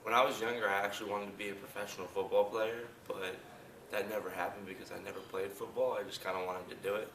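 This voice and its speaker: Fast-talking deep-voiced young man. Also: flat delivery. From New Jersey. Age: 20s. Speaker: college student. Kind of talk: interview answers. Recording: roomy, distant mic, background noise.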